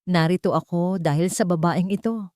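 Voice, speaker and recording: A woman voicing a shrewd older male business rival in an audio drama, measured and authoritative. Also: fairly low pitch, fairly even intonation, a little mellow, no echo, clean studio sound, lightly acted. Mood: matter-of-fact.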